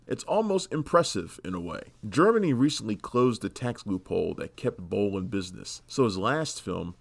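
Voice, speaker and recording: A man's voice: fairly low pitch, gravelly. A man hosting and narrating a scripted video essay in a snarky, opinionated, profane manner. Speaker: host and narrator of film ranking video.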